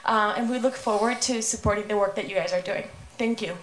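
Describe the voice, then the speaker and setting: Woman. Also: medium pitch. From Venezuela. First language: Spanish. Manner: friendly, thankful, laughs easily, halting with ums. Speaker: city councilmember. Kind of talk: council meeting remarks. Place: council chamber. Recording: roomy council chamber.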